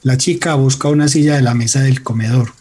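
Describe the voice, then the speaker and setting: Male narrator, dark relaxed tone, a bit breathy, dramatic scripted storytelling. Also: medium pitch, a little fast.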